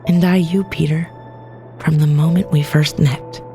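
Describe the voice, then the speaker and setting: An actress playing a determined, battle-worn resistance fighter and wife in an audio drama, plain-spoken and earnest. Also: female voice, low-pitched, steady tone, unhurried, warm tone, soft background music. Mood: tender, earnest.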